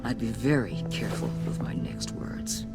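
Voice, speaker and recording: An imperious middle-aged government director from a dramatic game. She speaks in clipped, condescending commands with strongly varied pitch. Music sits behind the voice. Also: expressive, normal speed, whispered. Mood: stern, threatening. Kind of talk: dramatic video game voice acting.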